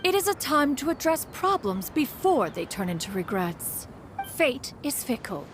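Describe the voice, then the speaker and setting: A woman's voice: high-pitched, big pitch swings. A woman voicing a proud, formal female crane spirit in a game, speaking in a stately, archaic way and calling herself "one". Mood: solemn. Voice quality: stately, measured. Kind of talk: game voice acting. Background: game music.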